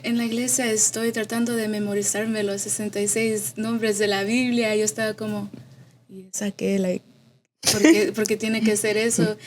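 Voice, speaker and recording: A woman in her twenties from Austin, Texas, giving casual personal testimony as a guest, halting and slipping in English words. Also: medium pitch, a little hiss or hum. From Austin, Texas, of Mexican parents. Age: 20s. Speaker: podcast guest.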